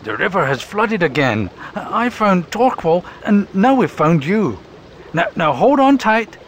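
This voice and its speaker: A kind, steady little river creature who gently reassures his friends, put on by a man reading aloud. His voice is raised a little above the reader's own, with an animated, sing-song lilt. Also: high voice, unhurried, slight background noise, voice pushed up, full character voice, low-quality recording. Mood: reassuring. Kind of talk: storybook character voice.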